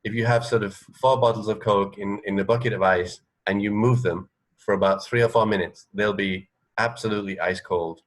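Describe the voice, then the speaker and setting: A middle-aged man co-hosting a casual chat over a video call, haltingly restarting his sentences. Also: fairly low pitch, fairly even intonation, low-quality recording. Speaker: radio co-host. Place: indoor room at home, on a video call.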